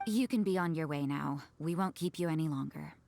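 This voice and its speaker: An actress playing an aloof, dry-witted loner nun, curt and deadpan, in a video game cutscene. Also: female voice, low voice, brisk, vocal fry, loud music behind the voice. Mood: detached.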